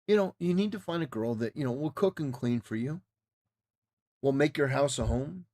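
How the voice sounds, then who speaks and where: A man's voice: mid-range voice, lively intonation, normal speed, darkish tone. A man giving casual, opinionated livestream commentary in an emphatic, repetitive way, with stop-start phrasing. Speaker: livestreamer reacting to a clip.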